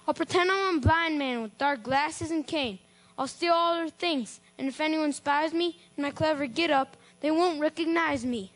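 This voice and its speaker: A woman's voice: high voice, moderate pace, a bit bright, voice pushed up, rough recording. A woman voicing a grumpy, scheming old man who barks and sneers his rhymed lines in a storybook. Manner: barking, sneering rhymed delivery. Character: grumpy, scheming old male church-hater.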